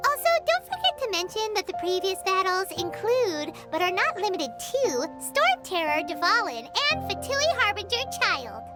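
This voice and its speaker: A woman voicing a small, cheeky, childlike fairy companion with a squeaky, eager delivery in a video game. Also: very animated, moderate pace, hardly any pauses. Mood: eager, chatty. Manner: refers to self in third person, blunt, eager. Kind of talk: video game character acting. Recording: game music behind the voice.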